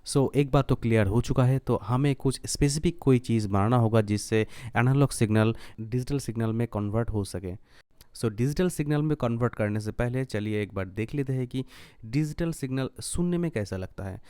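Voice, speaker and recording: Clear-voiced man, very dry, explaining step by step. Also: mid-range voice, dark tone, no room sound. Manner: mixes English terms and phrases into Hindi. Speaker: electronics tutorial host. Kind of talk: step-by-step DIY tutorial narration.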